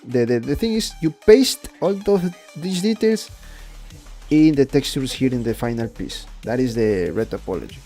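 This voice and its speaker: A man's voice: mid-range voice, dark tone. A young man from Ecuador with a Spanish accent, rambling and repeating words as he explains his 3D art on a casual livestream. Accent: Spanish-accented English. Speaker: 3D artist streaming his work.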